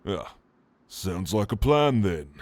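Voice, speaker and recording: A man voicing a gruff, friendly orc warrior with an informal, blunt, teasing manner in an ASMR roleplay. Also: on the low side, big pitch swings, slow, darkish tone, no room sound, heavily acted.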